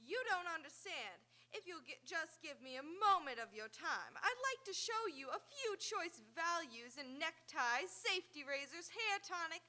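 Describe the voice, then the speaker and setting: Door-to-door saleswoman for radio comedy female actor, bright and extremely high, chirpy, always pushing the sale. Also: very animated, normal speed, hardly any pauses, full character voice. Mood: eager selling. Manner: pitching, exclamatory. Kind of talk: 1930s comedy radio play acting. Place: auditorium stage. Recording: live stage radio play.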